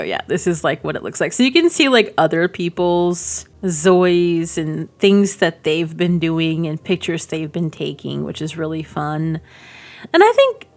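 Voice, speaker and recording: Chatty woman with a slightly low voice. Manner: chatty, informal, enthusiastic, thinking aloud. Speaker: gaming video creator, host. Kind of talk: casual game preview commentary.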